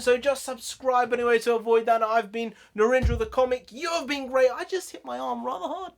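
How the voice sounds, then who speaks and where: A man ranting casually in an opinion vlog, his voice going hoarse, with lively swings in his delivery. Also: very high-pitched. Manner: chatty, ranting, animated sign-off. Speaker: YouTube vlogger.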